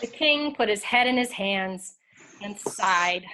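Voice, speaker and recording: A woman's voice: medium pitch, lively intonation, slow, background noise. A woman reading scripted story narration as a narrator in a readers theater.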